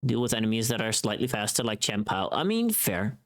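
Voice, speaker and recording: A livestream host chatting casually with viewers in an animated, informal, sweary way. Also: medium pitch, a little fast.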